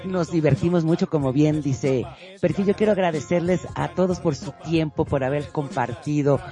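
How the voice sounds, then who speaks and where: Mexican guy on radio, really mellow voice thats a bit high, chatting casually over soft music. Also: moderate pace. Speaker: radio show co-host. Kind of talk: casual radio sign-off with thanks.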